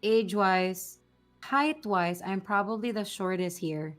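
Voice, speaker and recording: Woman, 20s, from the Philippines, playful, giggly, animated storyteller, mid pitch, faint music. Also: a little slow. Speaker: guest. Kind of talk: casual chat.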